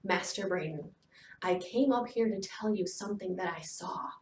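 A woman's voice: fairly low pitch, moderate pace, lightly acted. Woman as scrappy young girl, nervous, earnest, storybook, roomy echo. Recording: roomy echo, distant from mic.

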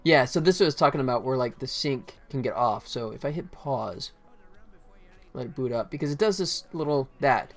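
A man's voice: medium pitch, expressive, brisk. A man in his forties, a game developer, casually narrating his work on a livestream, often muttering to himself. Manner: muttering to himself in short bits. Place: small indoor room at a computer. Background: faint music, keyboard typing.

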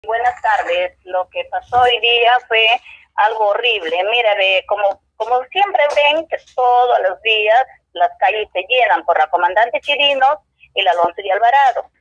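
A woman's voice: fairly high pitch, moderate pace, dry recording. Brief: Peruvian Spanish woman shopkeeper, indignant and rambling, dull dry lo-fi recording. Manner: rambling, indignant, with many restarts. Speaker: local shop owner interviewed. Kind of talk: street interview.

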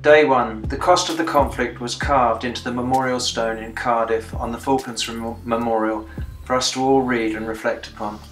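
A man's voice: mid-range voice, fairly even intonation, normal speed, fairly bright tone, roomy sound, soft background music, poor audio. A British man in his sixties, a veteran narrating a video diary of a commemorative bike ride in a plain-spoken, dryly understated way. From Britain. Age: 60s. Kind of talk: reflective voice-over narration.

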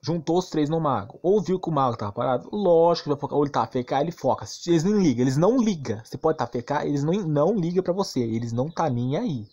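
A man's voice: a little high, lively intonation, fast, hardly any pauses, mellow, husky, lo-fi sound. A man with a Brazilian accent giving a casual, ranting game commentary, slangy and crude, emphatic and repetitive with rhetorical questions. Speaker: gaming YouTuber.

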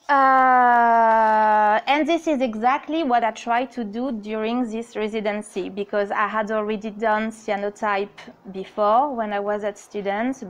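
A woman in her thirties with a French accent, presenting her art to an audience in a friendly, slightly apologetic way, with nervous little laughs. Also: a little high. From France. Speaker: artist giving a talk. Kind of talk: presentation reading from notes. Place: outdoor courtyard on stone steps.

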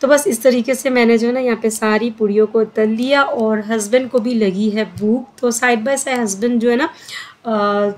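A woman's voice: fairly high pitch, fairly even intonation, unhurried. A middle-aged woman talking through a home recipe step by step in a chatty, casual way, with frequent filler sounds. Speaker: cooking vlogger. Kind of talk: home cooking voice-over. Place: home kitchen.

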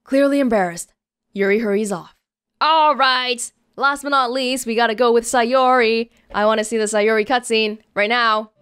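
High-voiced young woman narrating a game. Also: fairly even intonation, moderate pace, a bit bright, smooth. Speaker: let's play host. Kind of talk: game narration and casual commentary. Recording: game music behind the voice.

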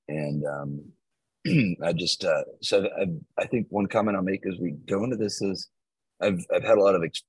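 A man with a very low, slightly husky voice hosts a video call from a small home room. He talks in a measured, thoughtful way, restarting phrases now and then. The laptop mic sounds dry and close. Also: dry recording, low-quality recording. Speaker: meeting host and project maintainer. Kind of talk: casual video call chat.

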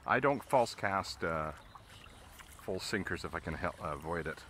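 Low, bright-toned male angler teaching as he fishes, action-cam sound with faint outdoor noise. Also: moderate pace. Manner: relaxed, explains steps as he casts. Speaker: fly fishing instructor. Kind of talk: casual instruction while fishing. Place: outdoors on a river, in a boat.

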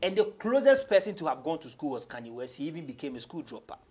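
A young Ghanaian man, a rapper being interviewed, talking casually in a husky voice and mixing English with Twi. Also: on the high side, big pitch swings, fast talker, a little mellow. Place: small radio studio office.

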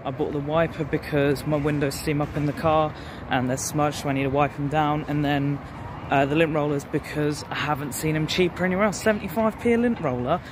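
Young English man, slightly high-pitched voice. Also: steady tone, brisk, background noise. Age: 20s. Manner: chatty, informal. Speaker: vlogger. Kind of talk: casual vlog chat to camera. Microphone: phone at arm's length.

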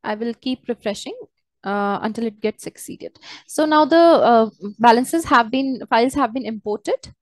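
A woman walking through a software tutorial step by step as a trainer, in a hesitant, halting way. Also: fairly high pitch, no room sound. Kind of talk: screen-share software tutorial, unscripted walkthrough.

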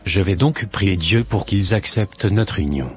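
A man voicing a calm, devout young suitor with a patient, measured manner in a scripted cartoon. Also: sits low, faint music behind. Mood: calm, hopeful.

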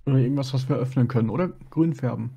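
Husky, mellow man chatting over gameplay. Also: medium pitch, racing. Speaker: co-commentator on a gaming stream. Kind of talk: casual chat over gameplay.

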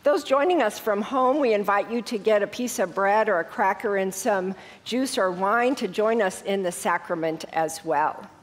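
Congested woman pastor in a church. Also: medium pitch. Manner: warm, conversational announcements then measured liturgical prayer. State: congested with a head cold. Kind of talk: church welcome and liturgical prayer. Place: large church sanctuary. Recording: long reverb of a church hall.

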